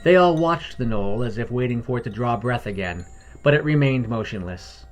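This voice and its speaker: A man's voice: medium pitch, expressive, normal speed. A man reading scripted fantasy fiction as the narrator.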